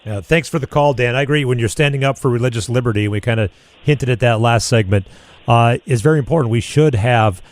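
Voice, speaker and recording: A man's voice: mid-range voice, a little fast, no room sound. A man with an American accent, hosting a conversational radio interview in a friendly, appreciative manner. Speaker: talk radio host.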